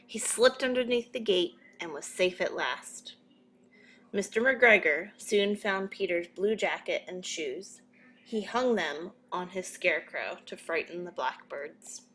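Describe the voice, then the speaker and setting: A woman reading a children's story as a narrator, in a measured but expressive storytelling manner. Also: lowish voice, slight background noise. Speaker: storybook reader.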